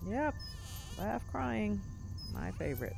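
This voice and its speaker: Anxious, sardonic woman, animated delivery. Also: medium pitch, slow, vocal fry, background noise, full character voice. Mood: rattled, wry. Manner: hesitant, wry, expressive. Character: anxious, sardonic gig-worker woman, freshly suspended from her job. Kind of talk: naturalistic audio drama acting.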